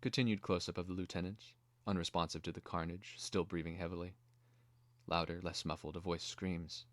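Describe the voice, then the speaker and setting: Deep voiced guy reading out a screenplay, measured and dramatic, really dry sound. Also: flat delivery, dry recording. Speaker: narrator. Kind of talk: scripted narration of a screenplay.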